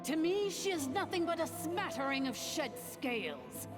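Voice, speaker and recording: A woman's voice: high-pitched, big pitch swings, normal speed. A woman voicing an arrogant, cruel ancient dragon lord in a video game, speaking with pompous contempt. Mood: scornful, imperious. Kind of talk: theatrical video game villain acting. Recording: long reverb, clear music behind.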